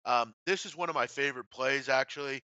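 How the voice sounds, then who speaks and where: A man's voice: medium pitch, a little fast, pushed and bright, no room sound, dull audio. A man hosting a casual sports betting discussion, blunt and opinionated in a colloquial way. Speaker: host of a sports betting show.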